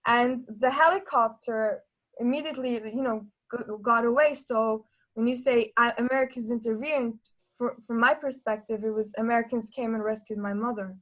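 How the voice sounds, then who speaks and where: Earnest woman thinking aloud, fairly high. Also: steady tone, normal speed, fairly bright tone, low-quality recording. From Norway. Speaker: panel discussion participant. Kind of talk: reflective discussion.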